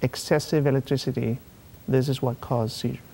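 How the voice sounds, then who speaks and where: A man's voice: mid-range voice, fairly even intonation, creaky, warm tone, a little hiss or hum. A man from Spain, a neurosurgeon guest on a TV talk show, explaining carefully with many fillers. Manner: measured, halting with fillers. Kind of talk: TV interview, explaining.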